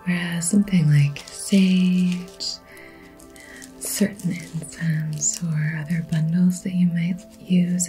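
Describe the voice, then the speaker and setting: Soft whispery asmr reiki lady, slow n soothing, dark mellow voice, faint music behind. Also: sits low, poor audio. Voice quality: breathy whisper. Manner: soothing, slow and gentle, addressing the listener personally. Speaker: Reiki healer and ASMR host. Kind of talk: soft-spoken ASMR healing session.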